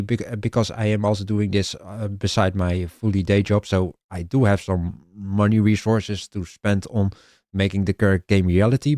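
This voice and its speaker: A man with a Dutch accent pitching his indie game idea in a casual vlog, halting and restarting often and clearing his throat. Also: a little mellow, dry recording, studio quality. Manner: halting, many restarts and filler sounds.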